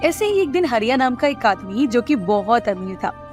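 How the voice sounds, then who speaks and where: A woman giving scripted narration of an animated moral story. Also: a little high, normal speed, faint music behind.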